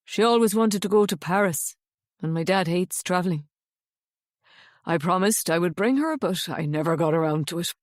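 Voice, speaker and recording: A woman voicing a gentle young man, kind and grieving, speaking softly. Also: on the low side, normal speed, dry recording, studio quality, pitched below the performer's own voice, natural voice. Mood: sad, tender.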